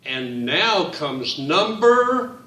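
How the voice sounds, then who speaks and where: A man reading his picture book aloud to children, in a slow, sing-song storytime voice that speaks to them directly. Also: fairly high pitch, big pitch swings, sharp tone, roomy sound, far from the mic, poor audio.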